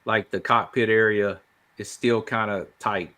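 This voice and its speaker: A man's voice: lowish voice, fairly even intonation, a little slow, pushed and bright. A man chatting casually as a podcast co-host. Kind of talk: casual chat.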